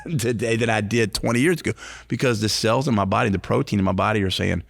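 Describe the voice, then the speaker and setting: Gravelly fifty-something man, earnest preacher. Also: fairly low pitch, fast, no room sound, studio quality. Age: 50s. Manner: earnest, repetitive, restarts phrases. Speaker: podcast co-host, recovery ministry. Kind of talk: casual faith-based podcast talk.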